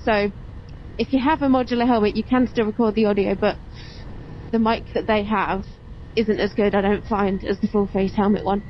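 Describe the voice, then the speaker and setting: A woman's voice: medium pitch, steady tone, moderate pace. Motovlog host: woman, casual and explanatory, dull helmet-mic sound with engine and road noise behind. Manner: chatty, explanatory. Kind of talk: casual vlog chat while riding. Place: on a motorbike on open roads. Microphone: helmet intercom mic.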